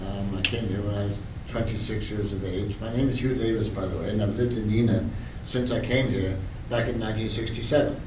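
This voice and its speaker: An older man from Wales speaks up from the audience in a chatty, joking way, and his voice sits a little low. He is picked up from far away in a roomy hall. A clear background hum runs behind him. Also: steady tone, brisk, hardly any pauses. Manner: chatty, joking, self-deprecating asides. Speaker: audience member. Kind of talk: spontaneous remarks from the audience.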